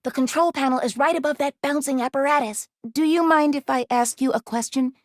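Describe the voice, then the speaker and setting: A small, earnest robot girl from a video game, acted by a woman, with a high voice and very dry sound. She speaks precisely and formally, like a careful scholar, always polite and a little cautious. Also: a little fast, no room sound, lightly acted. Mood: earnest, studious. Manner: precise, formal diction without contractions. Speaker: video game companion character.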